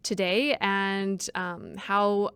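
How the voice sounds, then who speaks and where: A woman's voice: medium pitch, steady tone, very slow, fairly bright tone, clean tone, no room sound. A young woman from Canada hosting a podcast interview in a friendly, chatty way. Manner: friendly, chatty, with fillers and laughs. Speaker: podcast host. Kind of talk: casual interview.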